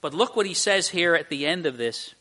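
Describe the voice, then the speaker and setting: A man's voice: fairly high pitch, expressive, brisk, sharp tone. A man preaching an expository sermon as a pastor, speaking earnestly and emphatically, with repeated phrases and rhetorical questions.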